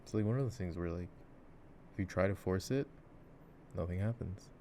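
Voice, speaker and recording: A man playing a goofy, soft-spoken gamer boyfriend who rambles with playful drama in an ASMR roleplay, over mouse clicks. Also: deep voice, moderate pace. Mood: laid-back, playful. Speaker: ASMR voice actor. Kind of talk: improvised-sounding ASMR boyfriend roleplay while gaming. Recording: clear background noise, mouse clicks. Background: mouse clicks and game ambience.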